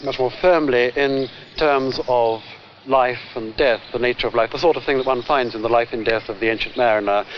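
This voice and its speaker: A man giving unscripted expert commentary, halting as he searches for phrases. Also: medium pitch, fairly even intonation, highs cut off, slight background noise. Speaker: literary expert interviewee.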